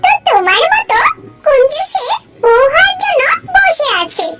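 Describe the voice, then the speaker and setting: Cartoon bird wife, extremely high pitch, swinging intonation, sarcastic, scolding, quarrelsome. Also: big pitch swings, normal speed, loud music behind the voice. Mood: angry, scolding. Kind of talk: Bengali animated cartoon dialogue.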